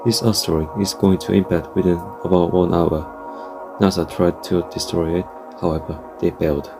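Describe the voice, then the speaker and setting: Deep-voiced man reading an emergency alert. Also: steady tone, moderate pace, over music. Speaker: emergency broadcast announcer. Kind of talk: scripted emergency alert announcement.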